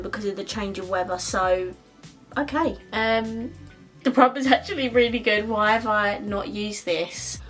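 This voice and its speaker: A woman's voice: medium pitch, a bit bright. A woman in her twenties with an Essex accent, chatting casually while doing her makeup, with plenty of ums and likes. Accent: Essex English. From Essex, England. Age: 20s. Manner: chatty, lots of ums and likes, quick laughs. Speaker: beauty vlogger. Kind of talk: chatty get-ready-with-me talk.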